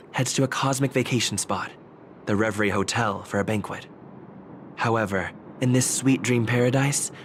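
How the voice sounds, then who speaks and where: A man playing a composed storyteller in a game, narrating an epic recap in a measured, formal way. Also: fairly low pitch, background noise, natural voice.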